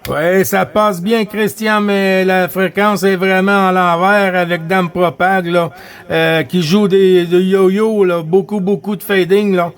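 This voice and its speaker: A man's voice: sits high, flat delivery, slow pace, smooth. A man from Quebec with a Quebec French accent, working as an amateur CB operator, chatting casually and calling stations. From Quebec, Canada.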